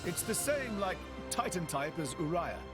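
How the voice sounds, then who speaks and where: A plucky young man from a video game cutscene. His voice is fairly high and swings widely in pitch, with clear music behind it. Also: big pitch swings, normal speed. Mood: explaining.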